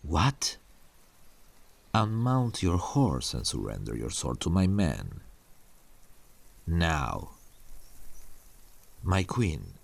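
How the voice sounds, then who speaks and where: A menacing warlord king from a medieval roleplay drama, acted by a man. His voice is husky and full of gravel, swinging expressively as he talks down to his captive queen. He is possessive, deliberate and coldly threatening. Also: rumbling low, very animated, full character voice. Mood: mocking command.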